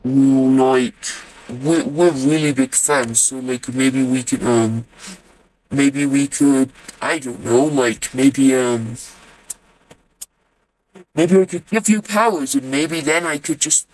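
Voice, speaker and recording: A man's voice: mid-range voice, close to an everyday voice, low-quality recording. A man playing a laid-back purgatory leader trying to sound cool, slangy and hesitant, in an amateur cartoon. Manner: slangy, bro-heavy, hesitant.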